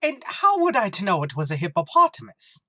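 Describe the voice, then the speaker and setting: A thick-headed, stubborn beat cop, played by an actor, in an old-time radio comedy. He gives deadpan comebacks in a mid-pitched, lively voice. The sound is dry and close. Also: fairly quick, dry recording, exaggerated voice. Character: dim-witted adult male beat cop.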